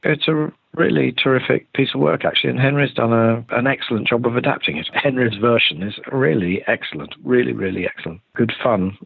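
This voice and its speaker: A man's voice: medium pitch, a little fast, creaky, few pauses, husky, no echo. A man talking casually as a director in an interview, emphatic and repeating himself, over a tinny phone-like connection. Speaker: theatre director being interviewed. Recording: dry, tinny phone-like line.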